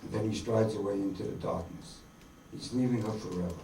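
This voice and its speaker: A man's voice: fairly low pitch, a little fast, dark tone. A man giving scripted dramatic narration as the narrator of a staged play. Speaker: narrator and performer. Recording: echoey room, distant mic, faint noise.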